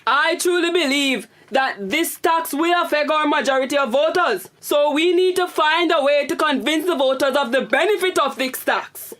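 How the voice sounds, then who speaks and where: A woman's voice: high-pitched, normal speed, hardly any pauses, fairly bright tone. A stern prime minister carrying a heavy burden, in a radio drama. She speaks formally and with command. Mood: grave, formal.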